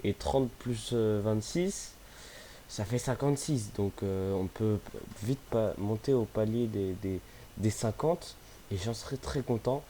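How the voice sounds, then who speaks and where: Young male gamer vlogger, low mellow voice, stuffy from a cold, faint hiss behind. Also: unhurried. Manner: hesitant, many fillers and restarts. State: has a cold, sniffly. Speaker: gaming youtuber. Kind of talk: casual vlog chat.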